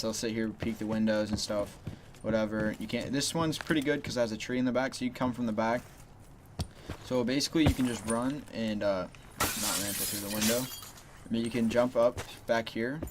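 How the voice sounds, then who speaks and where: A man walks through gameplay tips in a loose, rambling way, filling his talk with "you know" and "like". His voice sits low and stays quite flat, with little rise or fall. A clear layer of noise runs under the recording. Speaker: gaming channel host. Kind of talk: casual gameplay tips commentary.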